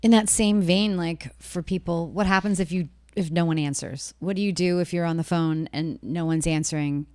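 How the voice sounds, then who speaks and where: Low-voiced American woman, close dry sound. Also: steady tone, normal speed, hardly any pauses, a little mellow, dry recording. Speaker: podcast co-host, interviewer. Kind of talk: casual conversational interviewing.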